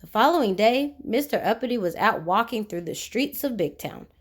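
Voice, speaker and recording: A woman reads a children's storybook aloud in a fairly high voice. Her pitch swings widely as she narrates. She is expressive and speaks directly to her young listeners, often with questions. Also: moderate pace, slightly rough.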